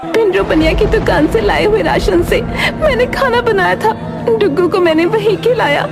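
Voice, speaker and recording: A woman's voice: very high-pitched, animated, moderate pace. A woman playing a distressed, pleading village mother in a cartoon story. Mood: grieving. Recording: loud music and crowd behind.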